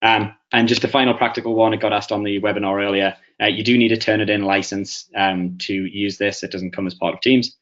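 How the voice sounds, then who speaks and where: A man from England with a Northeast English accent presenting a webinar, pausing often with fillers and restarts. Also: fairly low pitch, steady tone, a bit bright. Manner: hesitant, many fillers and restarts. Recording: muffled lo-fi audio.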